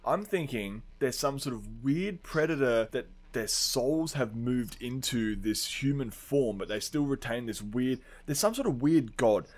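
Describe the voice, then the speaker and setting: A man chats casually as a podcast co-host. His talk is informal and full of slang, and he argues silly pop-culture theories as if they were entirely serious. His pitch sits in a middle range. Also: animated, measured pace, hardly any pauses. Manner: informal, riffs in earnest on absurd theories, says dude often. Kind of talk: casual comedic chat, pop-culture debate.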